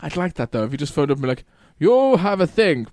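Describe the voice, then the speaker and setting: Fast-talking Liverpool man, jokey banter. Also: fairly high pitch, big pitch swings, darkish tone, no echo. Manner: quick, chatty, jokey. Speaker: podcast co-host. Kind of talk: casual comedic chat.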